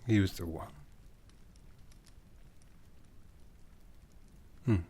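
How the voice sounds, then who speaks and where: A man playing an ancient, jaded, aristocratic vampire in a breathy, half-whispered ASMR roleplay, haughty and seductive with long deliberate pauses. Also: sits low, dark tone. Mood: silky, commanding. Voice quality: breathy, often dropping into whisper.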